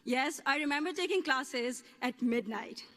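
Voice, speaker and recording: High-voiced woman, amplified in a hall. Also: animated, normal speed, sharp tone. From Pakistan. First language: Urdu. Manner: earnest with playful humor, careful formal phrasing. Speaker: student speaker. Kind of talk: graduation speech. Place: ceremony hall on stage.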